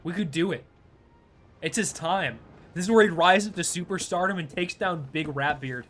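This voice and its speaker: A young man streaming a game, chatting casually in a laid-back, chuckling way with a fairly high-pitched voice. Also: moderate pace, a bit bright. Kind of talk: casual live gameplay commentary.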